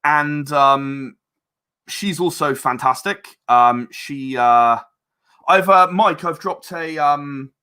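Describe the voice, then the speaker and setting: A man speaking as a guest in a casual podcast interview, halting and rambling as he explains. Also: fairly high pitch, bright, forceful tone, smooth. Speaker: interview guest, audio drama producer.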